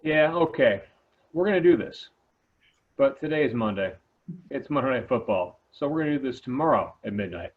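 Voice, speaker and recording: A man's voice: medium pitch, expressive, normal speed, lightly acted. Man reading as schoolteacher, tinny phone-like sound. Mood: persuading, decided. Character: male high school teacher, exasperated but game schemer. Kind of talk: amateur table read. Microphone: video call. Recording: tinny phone-like video call.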